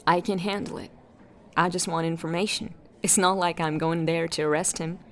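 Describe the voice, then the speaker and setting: A woman's voice: fairly low pitch, fairly even intonation, normal speed, slight background noise, lightly acted. A woman playing a determined, dry-witted police detective in a sci-fi audio drama, with terse, resolute delivery.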